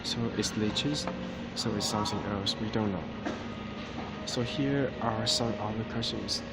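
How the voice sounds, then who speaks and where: A male student reading an article aloud for a class assignment, halting and stumbling over words. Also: lowish voice, loud music behind the voice. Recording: loud background noise with air conditioning hum.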